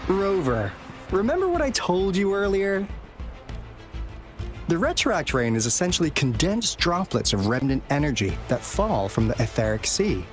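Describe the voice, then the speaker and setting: A man's voice: on the high side, huge pitch swings, moderate pace. A man playing a knowledgeable, engaging companion in an English game dub, explaining things in an articulate way over music. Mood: engaged, then informative. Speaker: game character explaining lore. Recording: studio voice under loud music. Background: game soundtrack music.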